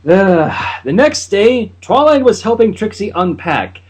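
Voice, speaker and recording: A man's voice: high voice, big pitch swings, measured pace, darkish tone, slightly rough. A man reading a fan story aloud, a bit hesitant at times. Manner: hesitant, with fillers and restarts. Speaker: fanfic reader.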